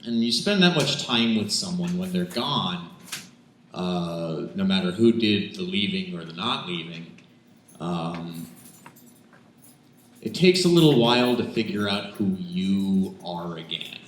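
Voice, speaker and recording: Low, unhurried male poet in a roomy cafe. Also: animated. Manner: self-deprecating, rambling asides, emphatic when reciting. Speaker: poet performing at an open mic. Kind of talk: spoken word poetry reading with casual banter between pieces. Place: indoors, small cafe open mic. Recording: roomy live cafe recording with background noise.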